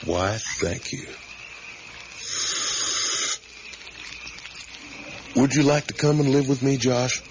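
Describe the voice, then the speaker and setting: An actor playing an easygoing, talkative middle-aged father in a naturalistic radio drama, with a folksy, rambling, gently teasing manner. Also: medium pitch, lively intonation, normal speed, natural voice. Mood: gentle, apologetic. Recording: muffled, hissy 1980s radio broadcast.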